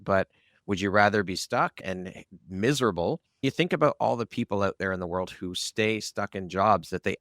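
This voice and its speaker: A man's voice: low voice, no room sound, studio quality. A man hosting a conversational interview podcast, talking in a candid, informal way. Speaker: podcast host.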